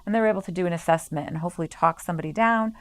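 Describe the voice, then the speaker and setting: Low-voiced woman explaining services. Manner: explanatory, measured, frequent um fillers. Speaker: staff member at a behavioral health center. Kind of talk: promotional interview.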